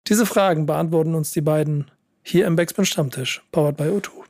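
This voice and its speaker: Higher-voiced man, hoarse podcast host. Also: a little fast, noisy background. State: hoarse and run-down, under the weather. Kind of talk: casual podcast talk.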